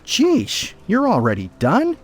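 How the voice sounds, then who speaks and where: A man's voice: high voice, wildly swooping pitch, slow, husky, full character voice. A man playing a distracted, put-upon father in a video game, mild, droll and reluctant. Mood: resigned. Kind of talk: video game cutscene voice acting with tutorial instructions.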